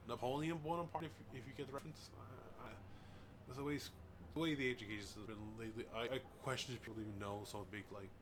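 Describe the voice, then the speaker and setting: A man's voice: mid-range voice, a little hiss or hum. A man hosting a game playthrough, reading on-screen dialogue aloud in a casual way, tripping over names and adding chatty asides. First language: English. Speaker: gaming channel host. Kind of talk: let's play, reading game text aloud. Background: loud music.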